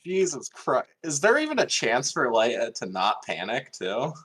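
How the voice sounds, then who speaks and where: A man's voice: mid-range voice, wildly swooping pitch, unhurried, a bit bright, breathy edge. A man playing a tabletop roleplaying game, chatting casually with the group.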